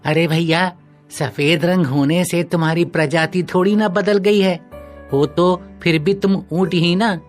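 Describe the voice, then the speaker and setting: Woman voicing a cheeky, unhurried cartoon animal. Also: lowish voice, fairly even intonation, soft background music, natural voice. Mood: coaxing. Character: cheeky cartoon animals, a camel and teasing mouse. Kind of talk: Hindi animal cartoon dialogue.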